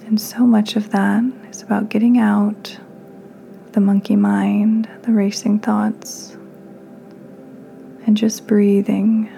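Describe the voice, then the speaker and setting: Soft breathy woman doing guided meditation, real slow and mellow, bit of noise behind. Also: mid-range voice, poor audio. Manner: calm, soothing, unhurried pauses. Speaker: podcast host, meditation guide.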